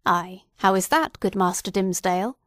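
A woman's voice: mid-range voice, moderate pace, no room sound, studio quality, lightly acted. A woman reading the part of a stern old male Puritan governor who speaks in a judging tone.

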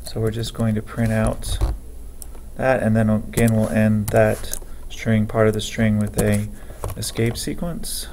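Man narrating a coding screencast: flat, gravelly, unhurried, light background hiss. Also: fairly low pitch. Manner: slow, methodical, thinking aloud while typing. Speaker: programming teacher. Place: at a computer, keyboard typing heard.

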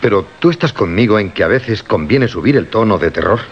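An actor with a Castilian Spanish accent playing a brooding, obsessed horror radio host, terse and preoccupied, in a radio drama. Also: male voice, lowish voice, expressive, fairly quick. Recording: muffled radio recording, faint noise.

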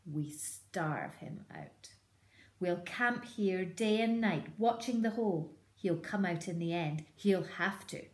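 A cruel, scheming farmer talks slowly and lowly, full of cold menace, as a woman reading the storybook puts him on. There is a roomy echo around the voice. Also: a little mellow, close to an everyday voice. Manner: low slow menacing delivery.

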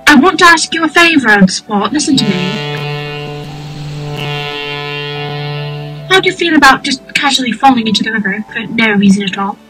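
A woman's voice: on the high side, quick pace, over music, lightly acted, poor audio. A woman voicing a cheery, eccentric male inventor creature in an amateur cartoon dub, speaking in a genteel, airy, old-chap manner. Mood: calling out.

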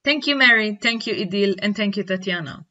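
A woman's voice: medium pitch, animated, pushed and bright. Woman interviewer over lo-fi call audio. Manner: formal, measured questioning. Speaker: lecturer and interviewer. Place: home room with bookshelf. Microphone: video call webcam.

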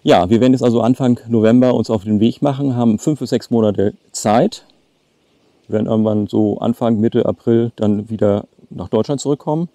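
A man's voice: medium pitch, a little fast, mellow, no echo. A man in his late fifties chatting casually to the camera outdoors as a travel vlogger. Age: late 50s. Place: outdoors by a lake.

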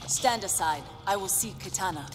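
A woman's voice: mid-range voice, normal speed, natural voice. A woman playing a defiant, self-assured warrior in a video game, with a cool, mocking manner. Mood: resolute. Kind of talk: video game cutscene acting. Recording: long reverb over loud music.

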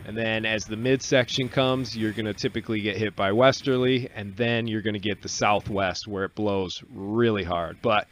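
A man in his forties giving a relaxed, casual voice-over on a sailing clip from a small cabin studio, talking into a desk mic. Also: lowish voice, normal speed, few pauses, slight background noise. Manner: relaxed, explanatory, with restarts. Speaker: solo sailor vlogger. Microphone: desk microphone on boom arm.

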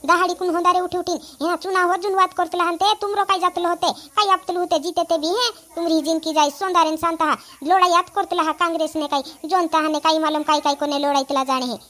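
A woman's voice: extremely high pitch, steady tone, fast, few pauses, fairly bright tone. A woman voicing an exasperated village woman in a cartoon comedy, chiding repetitively.

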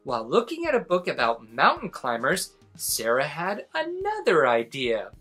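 A man in his forties reading a children's story aloud as an expressive narrator. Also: fairly high pitch, normal speed, slightly rough, soft background music. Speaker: storybook reader, host.

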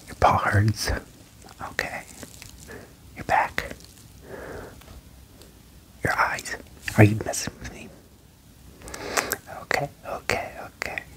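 A young adult man performs a soothing ASMR doctor roleplay, mostly in a breathy whisper. His delivery is slow and low, with gentle reassurance. He speaks right up close to the microphone. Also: low-quality recording. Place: small indoor room. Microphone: close desk mic with furry windscreen.

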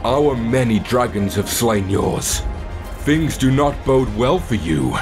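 Man acting a slow, menacing dark knight warlord. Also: mid-range voice, lively intonation, over music, pitched above the performer's own voice. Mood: gloating. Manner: haughty, slow and threatening. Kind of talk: dramatized fantasy audiobook acting.

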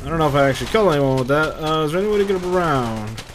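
A male gamer with a slightly high voice does casual play commentary. He mutters to himself and curses a lot, with faint battle sounds from the game behind him. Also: lively intonation, very fast, background noise. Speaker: gamer, let's play creator. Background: game gunfire and battle sounds.

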